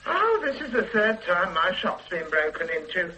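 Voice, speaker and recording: A woman's voice: lowish voice, very animated, normal speed, bright, forceful tone, slight background noise, rough recording. A woman playing a blunt, defiant middle-aged shopkeeper, in a radio drama, who stammers and restarts her sentences. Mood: stubborn. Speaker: witness.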